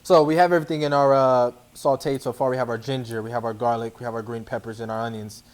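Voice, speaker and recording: A man's voice: medium pitch, steady tone, fast, darkish tone. Man, mid-range voice, informal cooking demo, playful, instructional. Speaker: athlete cooking a dish as guest chef. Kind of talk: casual cooking demonstration. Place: indoor kitchen.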